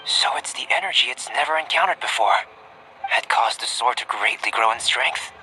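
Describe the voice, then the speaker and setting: A man voicing a calm, scholarly young alchemist in a video game, measured, reserved and articulate. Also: mid-range voice, a little hiss or hum, rough recording. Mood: intrigued.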